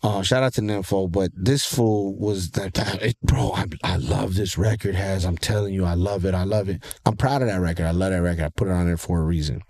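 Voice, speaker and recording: A very low, nasal male voice. He talks loosely and with energy, dropping curse words and "you know what I'm saying" as he goes, like a rapper chatting on a radio show. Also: a little fast, few pauses. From Ontario, California. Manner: loose, animated and profane, with lots of fillers. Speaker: rapper, guest. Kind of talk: casual interview chat.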